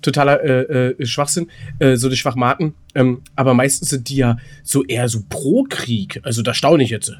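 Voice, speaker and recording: A man's voice: mid-range voice, normal speed. A man bantering casually as a podcast co-host, often repeating his words and phrases as he goes.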